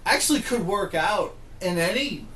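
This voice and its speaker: A man's voice: sits high, animated, moderate pace, bright, forceful tone. Roomy-sounding man in casual podcast chat. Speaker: podcast co-host. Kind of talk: casual chat about games and films. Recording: roomy echo, faint background noise.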